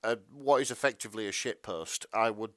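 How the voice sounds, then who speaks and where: A man's voice: lowish voice, a little fast, no room sound, studio quality. A man co-hosting a podcast in casual chat, talking in a hesitant, sweary way. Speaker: podcast co-host.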